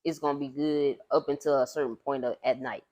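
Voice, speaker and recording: A woman's voice: rumbling low, steady tone, brisk, darkish tone. Talkative woman singer with a light voice. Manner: loose and talkative, many fillers. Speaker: singer and podcast guest. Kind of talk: casual conversation.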